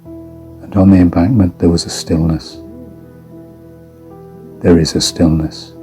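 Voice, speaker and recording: Male horror narrator. Very dark, mellow tone, flat delivery, quiet plucked-string music behind. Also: deep voice, slow pace. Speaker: story narrator. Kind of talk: scripted horror fiction narration.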